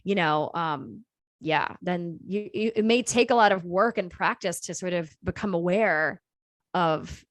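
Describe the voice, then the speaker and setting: A woman co-hosting a podcast in casual chat, reflective and measured, thinking out loud. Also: on the low side, dry recording. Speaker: podcast co-host.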